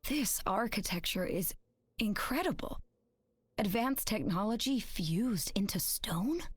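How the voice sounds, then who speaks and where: A young woman playing an earnest, clever teenage alien girl in an animated video game, thoughtful and dryly teasing. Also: medium pitch, unhurried, husky, dry recording, faint music behind.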